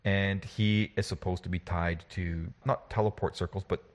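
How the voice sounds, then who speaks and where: A man who runs tabletop games, chatting casually and telling animated stories with casual swearing and lots of repeats. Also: low-pitched, moderate pace. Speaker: game master and podcast host. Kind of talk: casual chat.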